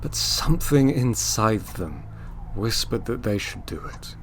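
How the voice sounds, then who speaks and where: A man narrating a scripted audio drama as a solemn, gentle storyteller, measured, with dramatic pauses. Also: fairly low pitch, very animated, slight background noise. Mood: hushed suspense. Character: solemn, gentle male storyteller of a grief tale.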